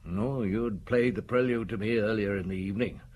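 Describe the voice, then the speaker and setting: A man's voice: fairly low pitch, steady tone, moderate pace, darkish tone. A man playing a stern, relentless chief inspector in an audio drama, blunt and commanding as he accuses. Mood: relentless, accusing. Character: stern, relentless male police chief inspector, menacing and sure. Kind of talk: audio drama acting, interrogation and narration. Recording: clearly noisy drama recording.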